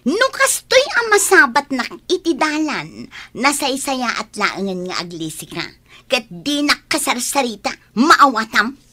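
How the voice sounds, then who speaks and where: Young Ilocano gold-digger, high bright voice, snappish, proud, swooping delivery, radio drama. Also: female voice, big pitch swings, measured pace, full character voice. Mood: scornful, angry. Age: young adult. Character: vain, haughty young woman dreaming of marrying rich. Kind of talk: Filipino radio drama acting.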